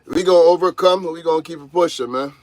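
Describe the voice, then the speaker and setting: A man's voice: a little high, quick pace, a little mellow, no echo. Laid-back male trucker in his thirties, casual livestream chat from his truck cab. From Jamaica. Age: 30s. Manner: laid-back, talks straight to viewers, calls them y'all. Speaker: truck driver vlogger.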